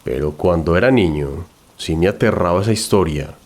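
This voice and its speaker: A man's voice: low voice, moderate pace, a little mellow. A man narrating a scripted first-person horror story.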